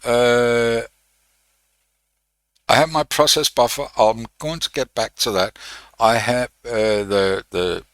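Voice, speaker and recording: A man giving an unscripted technical walkthrough as a tutorial presenter, thinking aloud in a halting way. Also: mid-range voice, steady tone, fairly bright tone, no room sound, clean studio sound.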